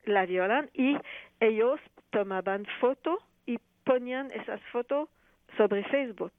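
A woman, a playwright and actress speaking Spanish as a second language, answering interview questions haltingly with many restarts. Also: fairly high pitch, no echo. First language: not Spanish. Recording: tinny phone line.

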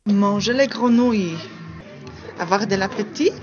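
A woman's voice: mid-range voice, measured pace, a little mellow, dull audio, soft background music. A woman guessing the meaning of an expression, musing and unsure of herself.

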